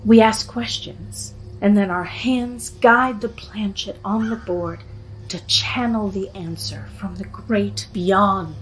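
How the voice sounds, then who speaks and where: A woman playing a bossy, eerie teenage girl leading a séance in an audio horror drama, taunting and drawn-out in her delivery. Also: medium pitch, a little hiss or hum, natural voice, poor audio. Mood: commanding, coaxing.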